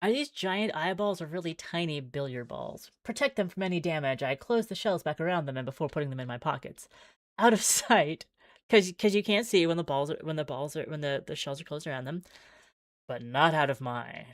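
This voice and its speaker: A woman's voice: low voice, animated, moderate pace, dry recording, studio quality. A woman streaming a visual novel, reading the text aloud theatrically with wry, exclaiming asides.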